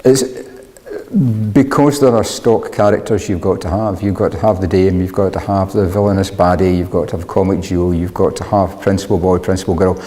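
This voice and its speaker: Interviewee: man, lowish mellow voice, explanatory conversational delivery, reverberant hall. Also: fairly even intonation, fairly quick.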